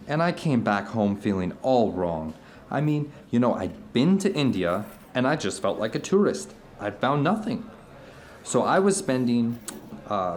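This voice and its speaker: Give the role of a fervent middle-aged man to a male actor in an audio drama. He has a medium-pitched voice and rambles earnestly through his experiences, like someone confiding in an old friend over dinner. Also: darkish tone, natural voice. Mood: earnest wonder.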